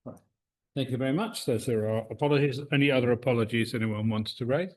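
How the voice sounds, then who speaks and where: A man's voice: medium pitch, fast talker. A man, chairing a club meeting in a meeting room. He talks hesitantly, with many uhs and restarts. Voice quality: loud voice.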